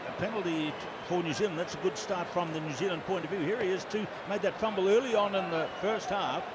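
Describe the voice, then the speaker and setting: Lead play-by-play man, high voice, brisk name-calling, loud stadium din underneath. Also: a loud crowd. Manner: brisk play-by-play, calling names as the action unfolds. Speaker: play-by-play commentator. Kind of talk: live rugby sevens commentary. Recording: commentary over loud stadium noise.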